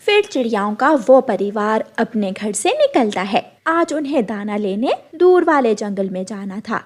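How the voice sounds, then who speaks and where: A female storyteller with a high, lively voice. She narrates a scripted children's cartoon tale, with plenty of melodic movement. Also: measured pace.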